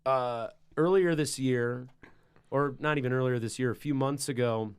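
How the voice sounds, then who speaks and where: Loud-voiced man telling a rambling story. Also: medium pitch, moderate pace, smooth. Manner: loud, carrying voice; rambling, animated storytelling with restarts. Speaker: podcast host and musician. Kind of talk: casual podcast conversation.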